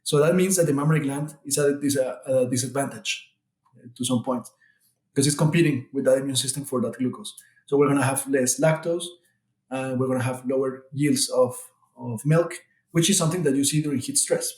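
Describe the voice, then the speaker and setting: A middle-aged man from Colombia, a researcher answering interview questions in a measured, explanatory way, often restarting his phrases. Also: medium pitch, a little mellow, roomy sound. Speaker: guest researcher.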